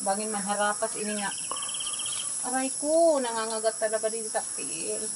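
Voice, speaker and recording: A woman's voice: on the high side, moderate pace. A woman vlogging casually while picking fruit under trees on a farm, exclaiming at ant bites and the fruit. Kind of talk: casual chat while harvesting. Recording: outdoor phone audio with insect noise.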